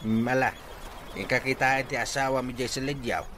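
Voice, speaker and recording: A man's voice: medium pitch, normal speed, lightly acted. A Filipino actor playing a stern father scolding his son-in-law in a radio drama. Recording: noisy radio drama track with ambience.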